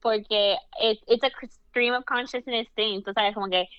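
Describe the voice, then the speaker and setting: A woman co-hosting a podcast, lively and switching freely between Spanish and English. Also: a little high, a little slow, smooth, no echo, highs cut off. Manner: lively, code-switching, frequent backchannels. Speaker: podcast co-host. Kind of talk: casual podcast chat.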